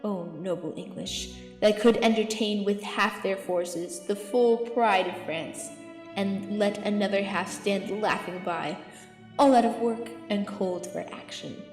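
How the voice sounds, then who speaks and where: A woman's voice: mid-range voice, unhurried, darkish tone, natural voice. A woman playing a zealous, eloquent archbishop counselling a king in declamatory Shakespearean verse in an audio drama. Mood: rousing, zealous. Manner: declamatory, rhetorical. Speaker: amateur actor in student audio drama. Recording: echoey hall reverb, music underneath.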